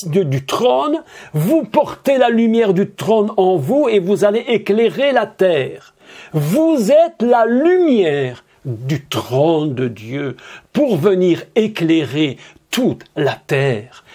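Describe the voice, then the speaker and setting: A male preacher delivers his sermon slowly, stretching out key words. His voice sits high and rises and falls widely as he poses rhetorical questions. He speaks with insistent emphasis. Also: mellow.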